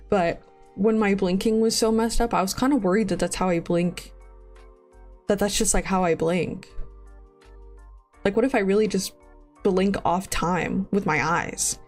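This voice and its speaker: A woman in her twenties who is sniffly with a cold, chatting casually and rambling on a live stream, laughing often. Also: mid-range voice.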